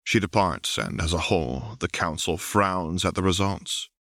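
A man's voice: low-pitched, animated, moderate pace, a bit bright, dry recording, clean studio sound. A man reading scripted fiction aloud as an audiobook narrator. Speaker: audiobook reader.

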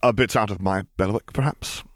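A man's voice: on the low side, a little fast, no echo, clean studio sound, close to an everyday voice. A man voicing a reserved middle-aged naval officer with formal, polite phrasing, in an audiobook story. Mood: politely curious.

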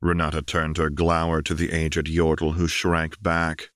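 A man's voice: rumbling low, monotone, a little slow, a bit bright, no room sound, clean studio sound. A man reading a fiction audiobook as narrator, in dramatic scripted narration.

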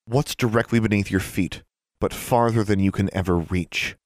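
A man's voice: lowish voice, fairly even intonation, moderate pace, no echo, clean studio sound, close to an everyday voice. A man voicing a defiant, clever young girl in an audiobook, with an indignant, challenging manner. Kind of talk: audiobook character acting.

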